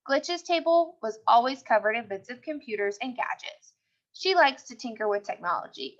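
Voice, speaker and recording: A woman reading a children's mystery story aloud expressively, acting out the dialogue. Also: fairly high pitch. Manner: animated, acts out the characters' dialogue. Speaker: storybook reader. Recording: dull, lo-fi sound.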